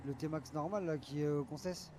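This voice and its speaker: A man's voice: a little high, steady tone, normal speed, noisy background. A young man talking in casual, slangy, confrontational chat while playing an online roleplay game.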